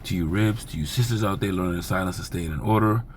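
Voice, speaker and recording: A man with a raspy, hoarse voice giving an informal, rambling video sermon as a religious teacher. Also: sits low, fast talker, a little hiss or hum. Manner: rambling, filler-heavy, conversational.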